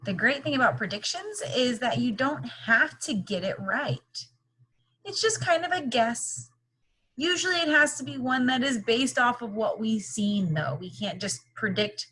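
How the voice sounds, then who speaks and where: Expressive, slightly husky woman teaching young children. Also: on the high side, low-quality recording. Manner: instructive, question-asking, simple encouraging phrasing for children. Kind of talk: children's read-aloud lesson.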